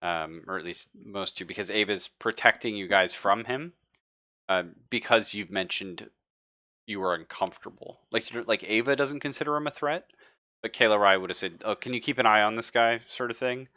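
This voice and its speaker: A man's voice: lowish voice, normal speed, bright, forceful tone, no echo, clean studio sound. A man acting as game master, casually narrating a tabletop roleplaying session and thinking aloud as he goes.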